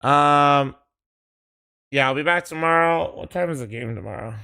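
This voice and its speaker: A man's voice: fairly high pitch, moderate pace, creaky, sharp tone, clean tone, no echo, studio quality. A young man streaming a game, tired and losing his voice, swearing casually in a laid-back way. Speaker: streamer playing with teammates. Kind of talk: casual gaming stream chat.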